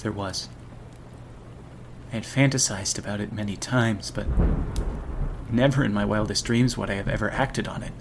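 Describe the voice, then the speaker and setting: Male horror narrator for radio drama, lowish and gravelly, over clearly noisy atmosphere. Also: moderate pace, pauses often. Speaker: narrator and actor.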